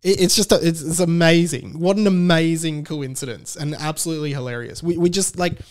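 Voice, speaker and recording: A man hosting a casual comedic solo podcast, rambling with stammered restarts and animated, incredulous emphasis. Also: fairly high pitch, fairly quick. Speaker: podcast host.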